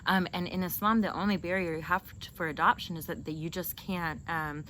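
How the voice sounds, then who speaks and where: A young woman with a fairly low voice chats as a casual host. She is animated and teasing, joking as she tells stories. There is clear noise behind her. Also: a little fast. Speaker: host interviewing a sibling. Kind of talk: casual chat and storytelling. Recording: noisy, with clear background noise.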